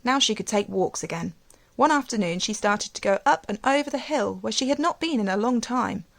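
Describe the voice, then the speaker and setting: A woman teacher reading a storybook aloud to young children, expressively, with gentle questions and asides. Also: on the high side, lively intonation, brisk, creaky.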